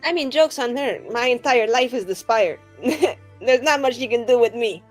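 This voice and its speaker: Playful, higher-voiced woman player. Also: soft background music. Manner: playful, expressive, quick to joke. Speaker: player in a tabletop roleplaying game. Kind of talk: casual, joking in-character roleplay and table talk.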